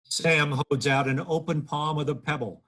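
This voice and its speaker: A man's voice: a little high, steady tone, moderate pace, a bit bright, poor audio. A man reading out stage directions as scripted narration at a table read over video call.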